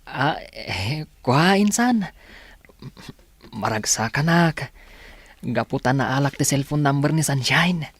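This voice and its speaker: A man's voice: mid-range voice, lively intonation, takes it slowly, long pauses, a bit bright, slightly rough, no echo, heavily acted. A man with an Ilocano accent playing a shy, lovestruck, hardworking village young man in a radio drama, hesitant and stammering when put on the spot. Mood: flustered.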